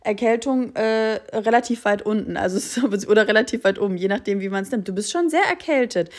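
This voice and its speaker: A woman co-hosting a podcast in casual chat, talking emphatically with fillers and quick bursts. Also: mid-range voice. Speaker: podcast co-host.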